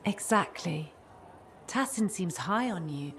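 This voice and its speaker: An actress playing an earnest, polite and worried wife in a video game. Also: female voice, on the low side, lively intonation, slow pace, a bit bright, slight background noise. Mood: concerned. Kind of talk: video game cutscene dialogue.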